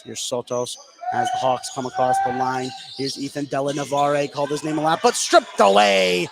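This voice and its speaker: A man doing live radio play-by-play commentary of a basketball game, excitable on big plays. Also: medium pitch, normal speed. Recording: clearly noisy live sports broadcast.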